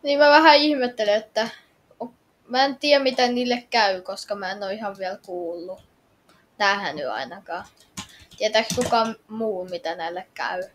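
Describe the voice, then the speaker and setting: A woman's voice: medium pitch, a little hiss or hum. A woman role-playing her way through a game in casual, hesitant talk, musing and asking questions. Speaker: gamer playing through a story. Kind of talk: casual improvised role-play commentary.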